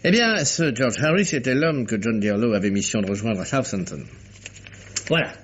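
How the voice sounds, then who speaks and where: An actor playing a thoughtful, urbane detective, measured, ironic and courteous, in a vintage radio mystery. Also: male voice, mid-range voice, animated, slight background noise, close to an everyday voice. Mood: explanatory. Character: thoughtful, wry male amateur detective, urbane and sharp. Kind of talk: mid-20th-century French radio mystery acting. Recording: muffled vintage radio recording.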